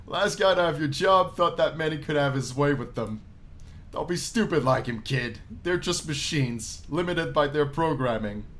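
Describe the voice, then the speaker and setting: A man plays a jaded nightclub boss from a visual novel game. He talks bluntly and with slang, worn out and unimpressed. The voice sits a bit high for a man, with noise behind it. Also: expressive, normal speed, a bit bright. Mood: weary, warning. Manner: blunt, slangy, world-weary.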